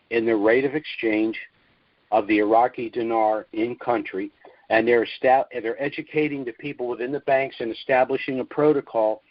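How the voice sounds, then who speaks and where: A man hosting an investment update call, explaining things informally in a measured monologue with emphasis on key words. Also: medium pitch, no echo. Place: conference call, dry close sound. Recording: dull, lo-fi conference call audio.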